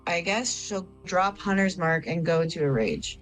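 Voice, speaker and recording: Woman, game player, casual improvised narration, expressive, slightly low pitch, background music. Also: moderate pace, rough recording.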